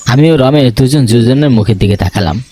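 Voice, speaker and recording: Nervous, curious young male lead , male actor, first-person horror cartoon narration, mid-range pitch, light music bed. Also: fairly quick, dark tone, natural voice. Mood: uneasy, suspenseful. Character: nervous, curious young male photographer telling his ghost story.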